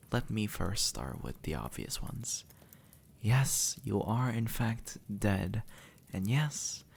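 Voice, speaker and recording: A man's voice: fairly low pitch, gravelly, husky, full character voice. A man playing a sardonic, impatient demon in a conversational audio roleplay, blunt and sarcastic, speaking straight to the listener. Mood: weary, explaining. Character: sardonic, impatient male demon, centuries dead, gruffly reassuring.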